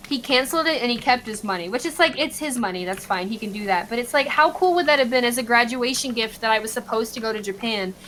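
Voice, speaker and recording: A woman's voice: a little high, lively intonation, quick pace, few pauses, fairly bright tone, slight background noise. A woman in her twenties chatting casually, explaining things and laughing easily. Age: 20s. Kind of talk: casual chat.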